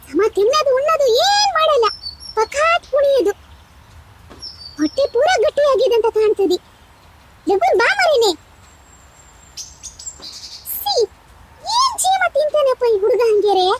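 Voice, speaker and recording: An exasperated cartoon mother from a village, as acted by a performer speaks in an extremely high, childlike pitch. She nags, grumbles and coaxes. There is some noise around the voice. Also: big pitch swings, a little slow, long pauses, exaggerated voice. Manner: nagging, grumbling, coaxing. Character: exasperated village mother scolding and coaxing her son. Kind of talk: cartoon dialogue in colloquial village speech.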